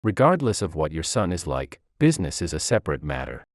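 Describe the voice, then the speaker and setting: A man's voice: sits low, big pitch swings, fairly quick, no room sound, studio quality. A man voicing a firm, composed chief executive in a narrated story. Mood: cold, firm. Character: stern, composed male CEO delivering judgement.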